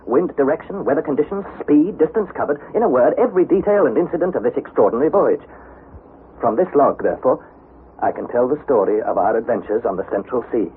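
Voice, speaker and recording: An actor playing an earnest young nephew, narrating an expedition with measured, dramatic storytelling in a 1960s radio drama style. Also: male voice, mid-range voice, close to an everyday voice. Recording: narrow-band, slightly noisy vintage radio recording.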